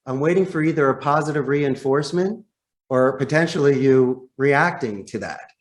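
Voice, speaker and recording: A man's voice: mid-range voice, normal speed. A man speaking as a podcast guest and sales trainer, explaining phone technique emphatically and putting rhetorical questions straight to the listener. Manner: emphatic, demonstrative, acts out example phone lines.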